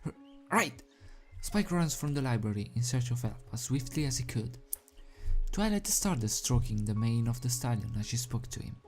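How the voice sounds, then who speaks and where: A man narrates a fan fiction story in a dramatic amateur style. His voice sits at a medium pitch, he now and then trips on phrases, and music plays underneath. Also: lively intonation, moderate pace, mellow. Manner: stumbles over words and rereads phrases.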